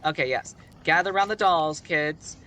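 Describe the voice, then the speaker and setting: A man's voice: a little high, unhurried, a crowd in the background. A man telling a story casually on a live stream, rambling with conversational asides.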